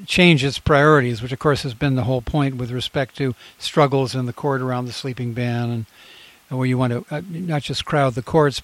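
A man's voice: mid-range voice, a bit bright, no room sound. Rambling male radio host. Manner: rambling, many fillers and restarts. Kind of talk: casual radio talk.

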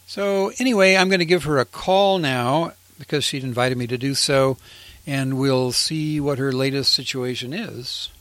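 A man's voice: medium pitch, moderate pace, no room sound. Man hosting a community radio show. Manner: relaxed, meandering with fillers. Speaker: radio host. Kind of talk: radio interview.

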